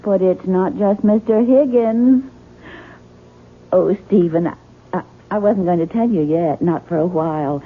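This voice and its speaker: An actress playing a devoted, anxious young wife in a 1970s radio drama, husky, tender and hesitant. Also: female voice, lowish voice, a little slow. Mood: pleading, tender. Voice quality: husky, breathy. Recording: muffled, narrow-band vintage radio recording.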